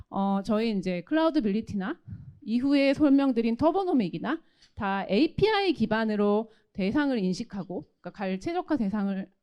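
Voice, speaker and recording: Woman presenting, lively higher-pitched voice. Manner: explanatory, polite formal delivery. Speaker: company solutions presenter. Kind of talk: technical product presentation.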